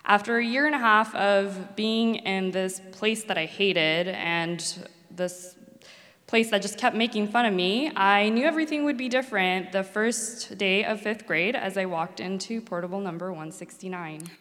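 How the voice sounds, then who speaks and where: A woman's voice: mid-range voice, normal speed, a bit bright. A woman from Mexico, a native Spanish speaker, earnestly telling a personal story as a former student. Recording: long hall reverb.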